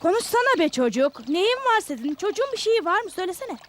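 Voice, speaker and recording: An impatient village woman in a dubbed cartoon. Her high voice swings in a lively way as she presses and questions, with faint noise behind her. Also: fast. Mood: impatient, concerned.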